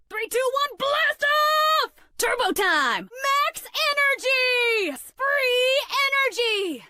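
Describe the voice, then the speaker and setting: Peppy game speedster girl: squeaky high, bright, boastful and impatient. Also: no room sound, exaggerated voice. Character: hyperactive, boastful energy-drink speedster girl. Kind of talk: video game character voice lines.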